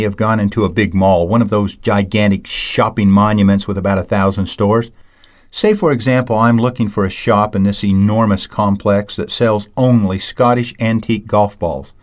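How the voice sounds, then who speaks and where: A man reading a scripted lesson in a measured, storytelling way, teaching communication skills. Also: lowish voice. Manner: measured, anecdotal, self-described ham. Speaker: audiobook narrator and seminar teacher. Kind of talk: scripted audiobook narration.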